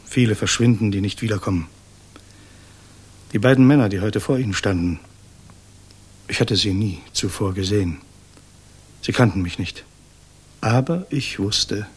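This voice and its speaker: A grave German resistance saboteur in a vintage radio play. His voice is low and somewhat husky. He speaks in solemn, deliberate phrases with weighty pauses, guilt-ridden yet fervently idealistic. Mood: resolute, sombre. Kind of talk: 1960s German radio drama acting.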